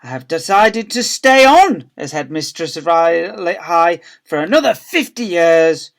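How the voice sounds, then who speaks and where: A man's voice: a little high, very animated, a little slow, voice pushed up. A man voicing a kindly, doddery and very old headmistress from a children's story, cheerful and polite. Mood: grateful, cheery.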